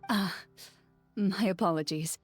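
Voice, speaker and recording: A composed young woman duelist in a video game, with a medium-pitched voice. She speaks in a measured, polite, matter-of-fact way over faint music. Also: a little mellow, slightly rough, no echo. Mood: fond, polite. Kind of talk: scripted game dialogue.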